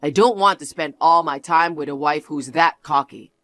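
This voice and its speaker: A cold, arrogant husband talks down to his wife in a lowered, scornful tone, as a woman reader puts him on. The delivery is clipped and sneering, lecturing rather than arguing. The sound is dry and close. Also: normal speed, fairly bright tone, no room sound. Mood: condescending. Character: arrogant husband, dismissive young man. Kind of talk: one-voice drama reading.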